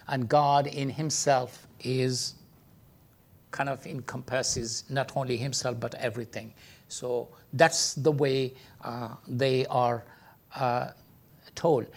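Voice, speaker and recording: A man giving an informal teaching talk, full of hesitations and filler sounds, speaking English with non-native phrasing. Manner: hesitant, many filler sounds, lively pitch jumps. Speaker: speaker teaching about Islam.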